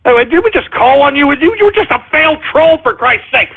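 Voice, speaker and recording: A man hosting a call-in radio show, brash and excitable, hurling insults at callers and often yelling. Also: extremely high pitch, fairly quick. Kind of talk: loud ranting call-in banter. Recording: tinny lo-fi stream audio.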